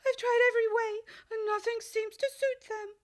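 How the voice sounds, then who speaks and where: A frantic, indignant mother pigeon from an audiobook, fretting and accusing in an extremely high, shrill voice. The sound is close and dry, with no room around it. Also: steady tone, moderate pace, no echo. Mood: aggrieved, fretful. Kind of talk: full-cast audiobook acting.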